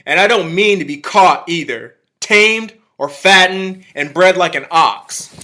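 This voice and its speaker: A man's voice: sits high, expressive, unhurried, sharp tone, exaggerated voice. An actor playing an embittered, zealous militiaman who survived an alien invasion, in a radio drama, speaking in emphatic, folksy, clipped phrases. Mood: defiant.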